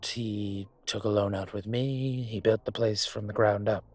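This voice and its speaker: A man acting a suave, older, gentlemanly dragon mafia boss in a romantic roleplay audio drama, courtly and teasing, with a husky voice. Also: lowish voice, fairly even intonation. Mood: relaxed, proud. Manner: unhurried, courtly, teasing, intimate. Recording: music behind the voice.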